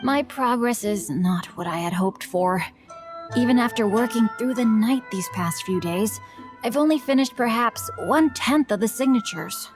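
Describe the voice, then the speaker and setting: A woman's voice: on the high side, animated, normal speed, music in the background, natural voice. A woman playing an earnest, humble young novelist in a video game, speaking formally and politely. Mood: discouraged.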